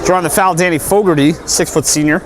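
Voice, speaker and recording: A man giving live play-by-play of a high school basketball game, excitable and quick to jump up in pitch on big plays, with light jokes between calls. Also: music in the background, poor audio. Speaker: play-by-play announcer. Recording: loud gym noise under commentary. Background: crowd and bouncing basketballs.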